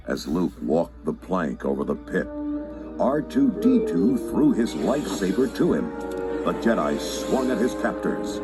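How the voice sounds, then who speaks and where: A man reading scripted, dramatic storybook narration. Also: low voice.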